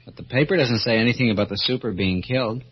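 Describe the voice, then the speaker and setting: A man's voice: medium pitch, fast, breathy edge, lightly acted. An actor playing a friendly, inquisitive young woman's brother with a slangy, offhand manner in an old-time radio drama. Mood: friendly, curious. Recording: muffled, noisy vintage radio recording.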